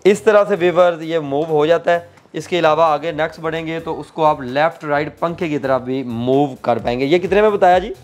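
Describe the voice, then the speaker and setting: A man's voice: on the high side, moderate pace, hardly any pauses. A young man with a Pakistani accent, hosting and interviewing on a product visit, repeating answers for viewers. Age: young adult. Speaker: host of a product video channel. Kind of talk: presenting and interviewing on a factory visit. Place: indoor room stacked with cardboard boxes. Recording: background music under the voice. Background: music.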